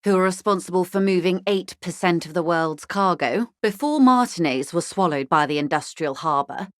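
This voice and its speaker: Woman acting a poised, wry corporate negotiator, low-pitched. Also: dry recording, clean studio sound, lightly acted. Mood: composed, businesslike. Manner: articulate, genteel, measured, drily ironic. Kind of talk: scripted video game dialogue.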